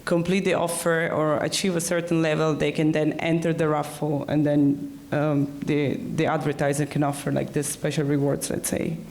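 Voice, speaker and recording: A man on an industry panel explaining his points haltingly, with many "like" and "um" fillers. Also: on the high side, monotone, a little mellow. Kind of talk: conference panel discussion.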